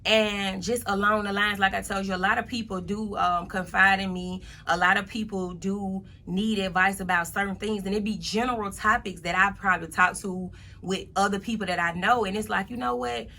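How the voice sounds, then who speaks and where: A woman's voice: medium pitch, fairly even intonation, normal speed, gravelly, hardly any pauses, bright, forceful tone. A woman of thirty-one, speaking as a motivational guest in a casual interview, emphatically repeating her points.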